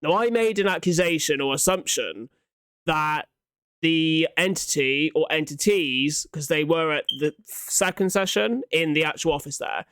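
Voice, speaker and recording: A man's voice: on the high side, a little slow, a bit bright, smooth, dry recording. A young man giving casual reaction commentary, thinking aloud in an informal, sweary way. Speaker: reaction video host.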